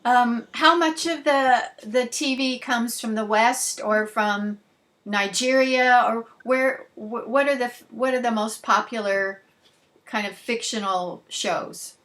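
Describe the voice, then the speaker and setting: A woman's voice: fairly high pitch, slow pace, fairly bright tone. A woman, asking questions conversationally as an interviewer.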